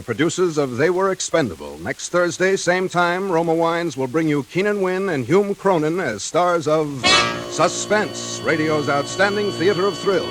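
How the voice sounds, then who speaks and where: A male American announcer in formal 1940s broadcast style. His voice sits fairly high, and loud music plays behind him on a vintage radio recording. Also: low-quality recording.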